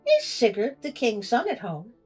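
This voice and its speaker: A woman's voice: fairly high pitch, huge pitch swings, normal speed, a little mellow, husky, faint music behind, heavily acted. A woman voicing a terrible giant sister from a storybook, with formal, archaic speech. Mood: formal, probing. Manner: formal archaic greetings and questions. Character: terrible giant sisters of a queen, looming and greedy.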